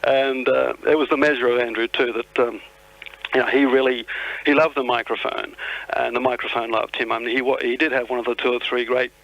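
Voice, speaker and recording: A man in his forties with an Australian accent, heard over a dull, lo-fi phone line. His delivery is flat and measured, halting now and then as he reminisces as a radio guest. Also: mid-range voice, gravelly. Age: 40s. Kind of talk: reflective reminiscence. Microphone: telephone.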